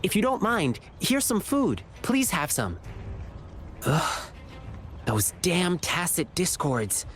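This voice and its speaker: An actor playing an earnest, upbeat and kind-hearted young lion dancer in a video game. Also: male voice, high voice, huge pitch swings, slow pace, fairly bright tone, faint music behind, full character voice. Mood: determined, plucky. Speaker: lion dance troupe performer. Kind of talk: video game character dialogue.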